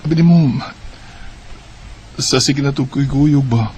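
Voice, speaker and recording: An actor playing a bashful young admirer in a romantic radio drama, with a Filipino Bisaya accent. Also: male voice, mid-range voice, a little slow, darkish tone, slight background noise, natural voice. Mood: bashful, hopeful. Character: Dave, bashful young man confessing love.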